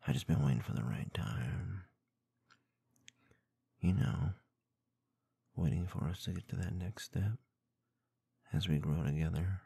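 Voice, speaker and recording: A man performing intimate ASMR roleplay in a soft, breathy, gravelly murmur close to the microphone, with a teasing manner. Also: low-pitched, no room sound. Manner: soft, teasing, slow murmuring with small chuckles and hums. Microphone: close binaural microphone.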